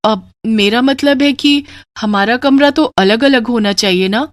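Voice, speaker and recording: Woman voicing an indignant young bride, high-set voice. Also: a little slow, no room sound, lightly acted. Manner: complaining, pleading.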